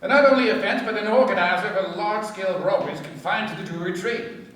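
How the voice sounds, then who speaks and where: A man playing a shrewd, composed detective inspector in a radio play, speaking with brisk, measured authority. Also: high voice, a bit bright, a little hiss or hum. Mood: measured, knowing. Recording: very echoey, distant from microphone.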